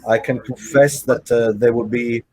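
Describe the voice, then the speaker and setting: A man with an Italian accent talks casually on a video call, answering fan questions and pausing often as he searches for words. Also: mid-range voice, fairly even intonation, darkish tone. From Italy. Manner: halting, searches for words in a second language. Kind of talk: casual online Q&A.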